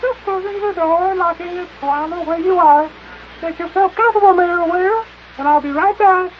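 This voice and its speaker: Very shrill, coy romantic widow. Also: male voice, normal speed, lo-fi sound. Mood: coy, possessive. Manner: coy, cooing. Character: clingy, romantic, coy widow chasing the mayor. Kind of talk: old-time radio comedy acting. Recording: noisy band-limited old radio recording.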